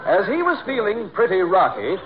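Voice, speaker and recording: A man's voice: sits high, wildly swooping pitch, moderate pace, a crowd in the background. An actor playing a polite, fussy weatherman who rambles literal-mindedly, in 1940s radio comedy style. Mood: earnest, rambling. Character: fussy, genial weatherman telling rambling, muddled tales. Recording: noisy, phone-like 1940s radio recording.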